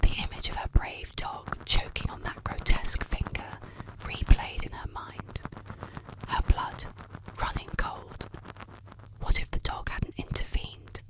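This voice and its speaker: An ASMR storyteller narrating scripted tales in a breathy, unvoiced whisper, with soft, deliberate phrasing. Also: low-quality recording.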